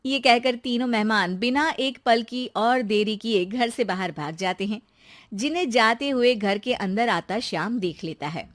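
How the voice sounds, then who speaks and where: A woman's voice: fairly high pitch, moderate pace, no room sound. A woman narrating a scripted moral story for a cartoon in a storytelling style.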